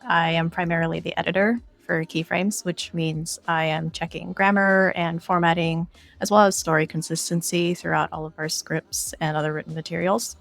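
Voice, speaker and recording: A woman's voice: fairly low pitch, flat delivery, moderate pace, creaky, a bit bright. A woman giving explanatory answers as an interview guest. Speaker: interview guest, game editor.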